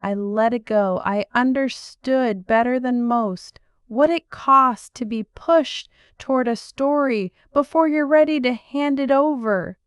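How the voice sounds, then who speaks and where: A woman narrating a first-person story in a measured, reflective way. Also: high voice, smooth, dry recording, clean studio sound.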